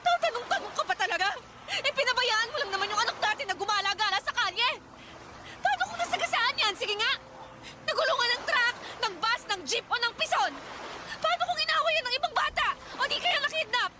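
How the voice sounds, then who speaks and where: An actress playing a fierce, exasperated young mother who scolds in rapid-fire questions in a Tagalog radio drama. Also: very high-pitched, lively intonation. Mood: furious, scolding. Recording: noisy street ambience with vehicles.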